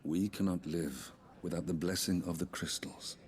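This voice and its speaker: An actor playing a kindly, solemn noble father and ruler in a video game drama, measured and reassuring. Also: low voice, fairly even intonation, darkish tone, natural voice.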